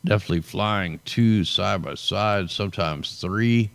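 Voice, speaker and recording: A man with a very low, gravelly voice talks casually through a weather commentary. He sounds tired and hoarse, as if his voice is giving out. The sound is close and dry, with no room around it. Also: unhurried, dry recording. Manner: loose, conversational, drawls "man" at line ends. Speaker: show host. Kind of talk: casual weather commentary over satellite maps.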